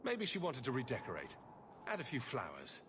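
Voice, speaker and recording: An actor playing a kind, dryly joking adventuring hero with a measured manner, in video game dialogue. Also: male voice, mid-range voice, wildly swooping pitch, noisy background. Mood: wry.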